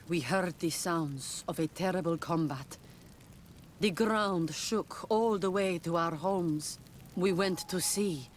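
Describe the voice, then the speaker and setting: Slow, solemn, proud island leader. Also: female voice, lowish voice, a little hiss or hum. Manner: solemn, deliberate, grave. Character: proud, stern island clan leader, distrustful of outsiders. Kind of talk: video game cutscene acting.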